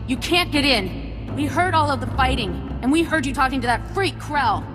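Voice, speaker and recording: A woman's voice: high voice, lively intonation, normal speed. A woman playing a defiant civilian captive in a video game, urgent and insistent. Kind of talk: video game cutscene acting. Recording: long reverb over music.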